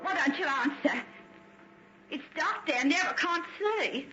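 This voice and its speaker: A woman's voice: high-pitched, lively intonation, measured pace, bright, forceful tone, heavily acted. An actress playing a timid, frightened woman with a questioning, theatrical manner in an old radio horror drama. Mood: nervous. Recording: dull, hissy old radio recording.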